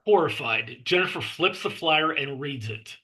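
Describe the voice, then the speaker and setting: A man reading screenplay stage directions as narrator, with measured phrasing and clipped scene headings. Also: a little high, expressive, bright, forceful tone, slightly rough.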